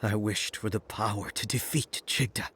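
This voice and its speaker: An actor playing a weary, weakened prophet bent on revenge in a video game, with a breathy, halting, grave delivery that often drops to a whisper. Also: male voice, lowish voice, fairly even intonation, fairly bright tone, slight background noise. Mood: bitter, despairing. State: coughing, weakened. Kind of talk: dramatic video game cutscene acting. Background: prominent music.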